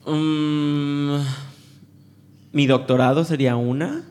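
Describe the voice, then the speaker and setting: A young man from Veracruz with a Mexican Spanish accent, chatting as an interview guest, friendly and quick to laugh. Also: on the high side, fairly even intonation, darkish tone. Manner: friendly, laughs often, many fillers. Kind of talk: casual interview conversation.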